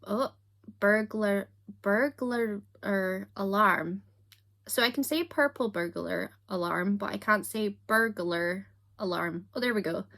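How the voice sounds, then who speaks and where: A young Scottish woman with a softened accent, chatting casually to camera in a self-deprecating, giggly way. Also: mid-range voice, slow, creaky. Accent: Scottish, softened toward standard British. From Scotland. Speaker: YouTuber.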